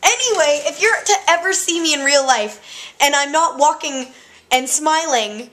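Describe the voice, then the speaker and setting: A young woman chatting casually and loudly in a vlog, excitable and joking. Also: high voice, normal speed. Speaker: vlogger.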